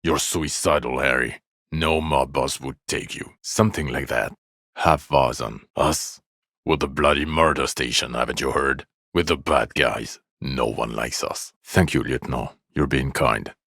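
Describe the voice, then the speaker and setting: An actor playing a jaded, sardonic middle-aged police detective in a video game, blunt and weary, with a gravelly voice. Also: male voice, very deep voice, measured pace, fairly bright tone, no room sound, studio quality, exaggerated voice. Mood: bitter, weary.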